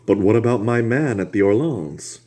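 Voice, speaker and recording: A man's voice: lowish voice, normal speed, warm tone, pitched above the performer's own voice, close to an everyday voice. A man voicing a polished, witty male aristocrat who speaks politely and unhurriedly. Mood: suave.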